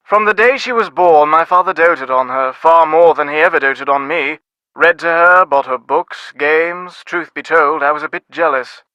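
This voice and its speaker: Game role: snobbish British upper-class dad, middle-aged, sardonic, highish sharp voice, very close dry sound. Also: male voice, normal speed, clean tone, no room sound, poor audio. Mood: wry, reflective. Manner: pompous, wordy, dryly sardonic. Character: snobbish, dismissive middle-aged English father of aristocratic family. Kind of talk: scripted video game dialogue.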